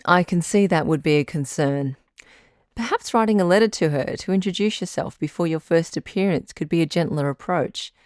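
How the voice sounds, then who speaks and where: An actress playing a calm, reassuring doctor who hosts a radio advice show, measured and frank in an audio drama. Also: female voice, lowish voice, no echo, clean studio sound, lightly acted. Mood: gentle, encouraging. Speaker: radio call-in host.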